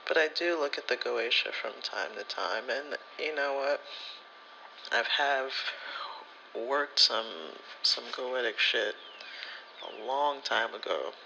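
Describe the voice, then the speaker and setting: Bright, crisp-edged man talking candidly. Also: mid-range voice, expressive, takes it slowly, dull audio, a little hiss or hum. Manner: candid, rambling, slangy, swears freely. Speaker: spirituality content creator. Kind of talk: casual personal storytelling, ASMR vlog.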